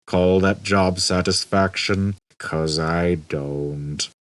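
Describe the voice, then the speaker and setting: A man acts out a hopelessly depressed robot in a storybook reading. The voice is deep and dragging, a bored drone full of gloomy sarcasm. The sound is close and dry. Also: fairly even intonation, fairly bright tone, no echo, heavily acted. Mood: weary resentment. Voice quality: low, droning. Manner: slow, gloomy, sarcastic muttering. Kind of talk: audiobook character voice.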